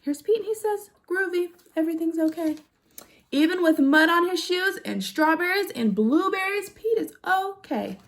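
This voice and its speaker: A woman reading a picture book aloud to young children in an animated way, putting questions to her listeners. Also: very high-pitched, normal speed, hardly any pauses. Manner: animated, with gasps and exclamations. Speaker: storybook reader for young children.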